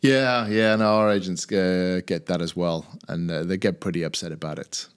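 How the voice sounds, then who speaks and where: Chill guy, low voice, laid back podcast chat, tells little stories. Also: steady tone, moderate pace, clear, resonant tone. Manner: easygoing, anecdotal. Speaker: podcast co-host.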